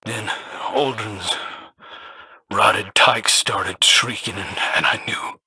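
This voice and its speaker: An actor playing a frightened, disillusioned crewman recording a secret audio log in a video game, husky, hushed and haunted. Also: lowish voice, slow, no echo, full character voice. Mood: terrified, haunted. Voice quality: very husky, breathy.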